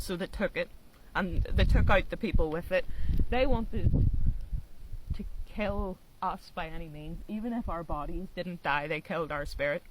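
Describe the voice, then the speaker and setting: An Irish woman from Ireland giving a heartfelt spoken monologue outdoors on a mountainside, earnest and growing quiet and intense. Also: on the low side, background noise. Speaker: social media creator. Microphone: phone recording.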